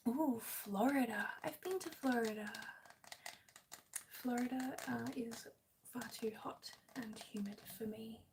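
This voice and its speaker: A woman with an Australian accent chatting gently in a hushed, breathy, near-whispered ASMR live stream style. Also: a little high, unhurried, background noise. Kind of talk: soft-spoken ASMR live chat with viewers.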